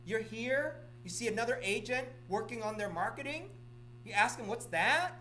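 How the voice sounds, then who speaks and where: A man's voice: very high-pitched, animated, normal speed, pushed and bright, slight background noise. A middle-aged man from Vietnam gives announcements at an office meeting, repeating himself and often checking in with "okay?". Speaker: real estate office manager or broker.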